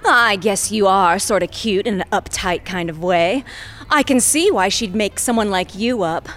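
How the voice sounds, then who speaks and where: A woman's voice: mid-range voice, moderate pace, a bit bright, lightly acted. An actress playing a brash, flirty, self-assured imaginary woman in an audio drama.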